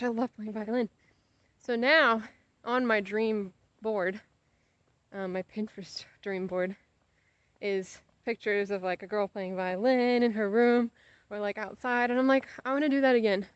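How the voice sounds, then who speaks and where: A young adult woman with an American accent talks in a casual, giggly vlog style as she walks outside. Her voice sits at an ordinary mid pitch and wanders easily between asides. The sound is close and dry, slightly dulled. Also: steady tone, moderate pace, clear, resonant tone, no room sound, dull audio. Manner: giggly, easily sidetracked, playfully exaggerating. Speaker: vlogger. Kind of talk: casual rambling chat to camera while walking outdoors. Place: outdoors on a sidewalk, walking.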